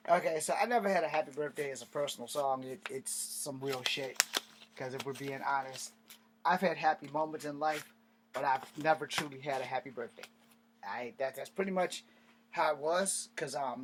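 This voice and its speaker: A man in his fifties delivers a personal vlog monologue, blunt and bitter, hammering his points with repetition and swearing. His voice sits somewhat high for a man, and a faint hiss of noise runs underneath. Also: low-quality recording. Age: 50s. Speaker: host.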